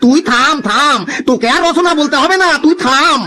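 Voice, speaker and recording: A man voicing an excitable, easily exasperated schoolteacher in a comic cartoon, exclaiming and repeating himself. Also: very high voice, moderate pace, slight background noise. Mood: flustered.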